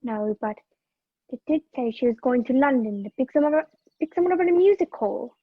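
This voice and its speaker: A woman acting a feisty, sharp-tongued young woman who reluctantly helps a fugitive, in a radio play over a video call. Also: high-pitched, lively intonation, a little fast, no echo. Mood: hesitant, confiding. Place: video call, dry room. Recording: muffled video-call audio.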